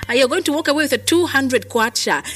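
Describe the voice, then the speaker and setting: A woman's voice: high voice, fast, fairly bright tone. A woman with a Zambian accent hosting a live radio promotion with prize phone calls, chatty and moving between announcing and casual talk. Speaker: radio host. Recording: music behind the voice. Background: music.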